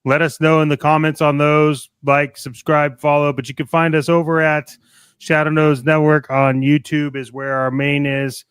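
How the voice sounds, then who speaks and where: Smooth, higher-voiced man co-hosting a podcast. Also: flat delivery, moderate pace, fairly bright tone, no echo. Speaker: podcast co-host. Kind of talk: casual promotional podcast chat.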